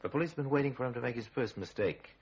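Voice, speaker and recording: A man acting as the grave, sardonic narrator of an old radio crime drama, telling it with measured, ominous irony. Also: medium pitch, slightly rough. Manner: measured, ironic, ominous storytelling. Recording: muffled vintage radio recording.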